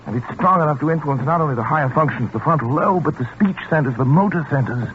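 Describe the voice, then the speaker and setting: An actor playing an obsessed, scheming scientist in a 1940s radio suspense drama, speaking in an intense, halting way. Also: male voice, on the high side, expressive, close to an everyday voice. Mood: fevered, exultant. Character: obsessed male scientist doctor, evasive, scheming husband. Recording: muffled, noisy 1940s radio recording.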